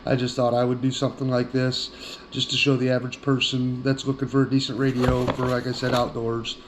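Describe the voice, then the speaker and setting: A man's voice: medium pitch, completely flat delivery, brisk, noisy background. A man giving a casual hands-on product review in a plain-spoken, folksy way.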